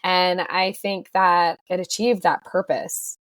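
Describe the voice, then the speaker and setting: Calm young woman, dry close sound. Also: lowish voice, takes it slowly, no echo. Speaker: book club podcast co-host. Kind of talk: casual chat.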